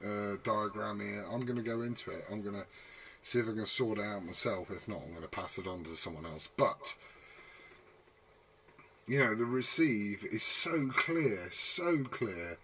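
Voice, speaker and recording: A man's voice: fairly low pitch, moderate pace, fairly bright tone. A man in casual radio hobbyist chat, a little hesitant and repeating phrases for emphasis. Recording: distant from mic, faint noise.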